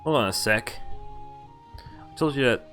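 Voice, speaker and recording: A man streaming a visual novel, reading it aloud and commenting casually in a halting way with offhand swearing. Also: mid-range voice, fairly bright tone, faint music behind. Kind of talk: casual game walkthrough with read-aloud narration.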